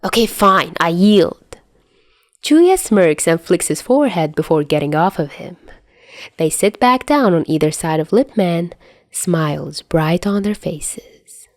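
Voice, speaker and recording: A woman narrating fan fiction in an expressive storytelling style. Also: fairly low pitch, a little slow, no room sound, clean studio sound. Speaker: podfic narrator.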